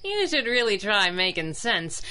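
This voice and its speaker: An actress playing a plucky, wisecracking young masked heroine in a pulp radio drama, sassy and defiant. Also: female voice, mid-range voice, big pitch swings, a little slow, bright, forceful tone, clear, resonant tone. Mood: flippant. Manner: sassy, flippant.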